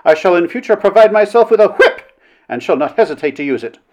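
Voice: medium pitch, very animated, brisk, darkish tone, voice pushed up, natural voice. A narrator voicing a self-important, quarrelsome woman in a story, declaiming with haughty, melodramatic indignation. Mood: pompous indignation.

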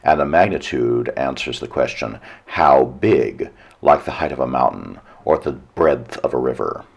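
A hoarse man hosting a weekly radio talk show, giving a measured lecture with dry asides. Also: extremely low pitch, lively intonation. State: hoarse, nearly lost voice. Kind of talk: solo radio talk monologue.

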